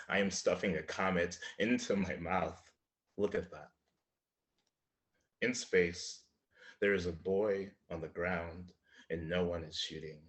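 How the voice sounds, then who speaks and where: A man's voice: sits low, a bit bright. A man presenting and reading his own poems at an online literary event. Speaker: featured poet reading own work. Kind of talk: live poetry reading with spoken introductions. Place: indoor room in front of a slate wall, online reading.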